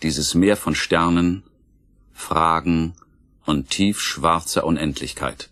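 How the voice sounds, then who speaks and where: A man narrating a radio play with solemn, dramatic and deliberate storytelling. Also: a bass voice, fairly bright tone.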